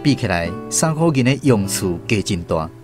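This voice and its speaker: A man's voice: on the low side, very animated, normal speed. A man with a Taiwanese accent narrating a literary radio drama from a script. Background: plucked guitar music.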